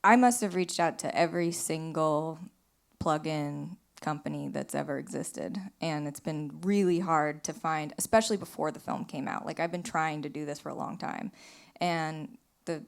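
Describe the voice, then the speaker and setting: A woman's voice: low voice, normal speed, creaky. A woman film director speaking casually on a live panel, telling stories in a rambling, conversational way. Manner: rambling storytelling, frequent "like" and "you know". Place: small theater, live panel.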